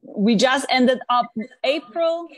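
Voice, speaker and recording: A woman's voice: high-pitched, takes it slowly, no room sound. A woman from Poland with a Polish accent, earnestly telling her life story as an interview guest.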